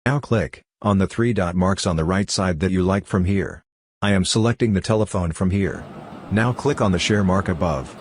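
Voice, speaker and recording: Man, tutorial presenter, husky voice, dry close sound, instructional, non-native English phrasing. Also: sits low, normal speed, no room sound. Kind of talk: step-by-step tutorial narration.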